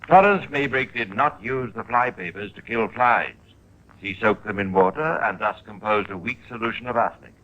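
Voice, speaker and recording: A man narrating a 1950s radio crime drama in a formal, deliberate, scripted style. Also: lowish voice, a bit bright, muffled recording.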